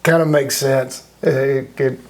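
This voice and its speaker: A man's voice: mid-range voice, steady tone, slow. A man hosting a casual conversational interview, talking in a rambling, reflective way. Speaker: interview host.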